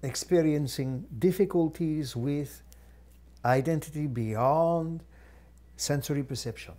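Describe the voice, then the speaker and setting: A man channeling a guide entity, teaching in a measured, formal way with long pauses and thoughtful hums. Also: a little high, mellow. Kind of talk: slow deliberate channeled teaching.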